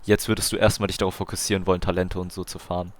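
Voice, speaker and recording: Low-pitched German guy chatting over a game. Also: flat delivery, very fast. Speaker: streamer. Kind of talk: casual gameplay commentary and chat.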